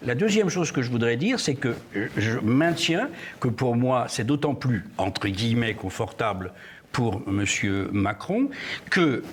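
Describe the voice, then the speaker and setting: A man's voice: medium pitch, lively intonation, unhurried, slight background noise. A man speaking as a guest in a televised political debate, emphatic and sardonic as he argues. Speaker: panel guest.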